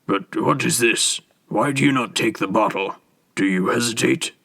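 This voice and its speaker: A man voicing a kindly, frail old man who speaks gently and gravely. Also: lowish voice, moderate pace. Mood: weary.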